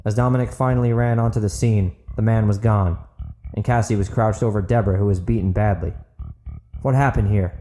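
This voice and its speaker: A man's voice: on the low side, fairly even intonation, quick pace, mellow, soft background music. A man reading scripted dramatic fiction as an audiobook narrator.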